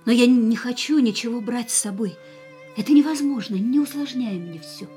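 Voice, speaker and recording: An actress plays a woman torn yet determined to leave the man who loves her. Her high voice is earnest and pleading, in the dramatic manner of a radio play. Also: normal speed, dark tone, faint music behind, natural voice. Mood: pained. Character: anguished, resolute woman leaving the man she loves. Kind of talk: Russian radio play acting.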